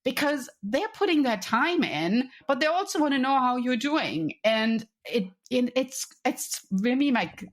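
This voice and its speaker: A middle-aged woman from Europe, a business coach speaking as a podcast guest in an enthusiastic, chatty way with frequent restarts. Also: mid-range voice. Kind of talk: conversational podcast interview.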